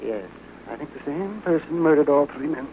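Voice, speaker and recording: A man's voice: on the high side, animated, normal speed, a little hiss or hum. An American man acting a crusty, long-winded old town doctor in an old radio western. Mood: wry, hedging. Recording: thin, telephone-like vintage radio sound.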